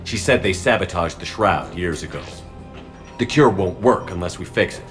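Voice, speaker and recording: An actor playing a resolute human military commander in a video game, direct and businesslike. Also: male voice, low voice, normal speed, a bit bright, faint music behind. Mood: composed.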